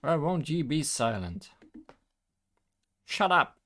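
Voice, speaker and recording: A man's voice: on the high side, very animated, normal speed, vocal fry, pitched above the performer's own voice. A man doing a bossy, haughty young Taoist woman. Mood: irritated. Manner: bossy, scolding, archaic wording.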